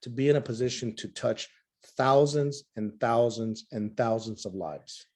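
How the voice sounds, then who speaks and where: A middle-aged man presenting over a video call, hoarse from talking nonstop, with an emphatic, repetitive sales delivery. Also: medium pitch, measured pace, darkish tone.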